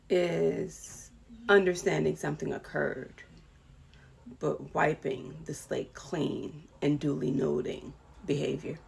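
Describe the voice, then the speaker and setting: A woman's voice: fairly low pitch, gravelly, a little hiss or hum. A woman vlogger talking casually about a series, with many fillers and restarts, and asking viewers to correct her. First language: English. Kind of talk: casual talk to camera.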